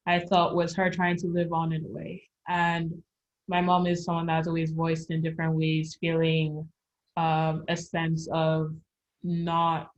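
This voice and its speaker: A young woman from Nigeria, an artist speaking reflectively in an interview, pausing often to search for words. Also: sits low, lo-fi sound. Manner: thoughtful, searching, with pauses and fillers. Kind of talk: reflective conversation.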